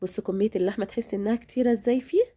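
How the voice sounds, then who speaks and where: A quick, chatty Egyptian woman walks her viewers through a recipe at home. Her voice is mellow and a little worn from a recent illness. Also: mid-range voice. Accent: Egyptian Arabic. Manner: chatty, speaks directly to viewers. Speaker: cooking channel host. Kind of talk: home cooking demonstration.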